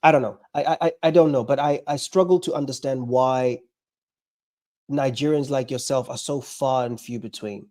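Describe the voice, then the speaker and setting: A man joining a live discussion as a guest, speaking haltingly and hesitantly, with plenty of filler words. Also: mid-range voice, mellow.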